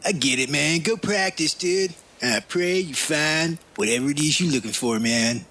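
A man's voice: a little high, moderate pace, bright, forceful tone. A man playing an easygoing karate coach, part formal sensei and part slangy dude, in an audio comedy drama. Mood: easygoing, sympathetic. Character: mellow-mannered male karate sensei, formal then slangy.

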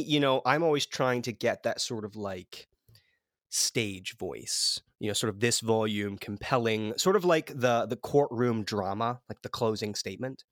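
A man hosting a podcast in a casual, playful, excitable chatting style. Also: mid-range voice, dry recording, clean studio sound. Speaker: podcast host.

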